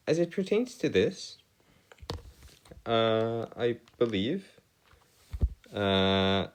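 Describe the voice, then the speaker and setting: A man giving an explanatory maths lesson as a tutor, with formal, wordy phrasing and halting, hesitant delivery. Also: medium pitch. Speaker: math tutor. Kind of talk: explanatory maths lesson over written working.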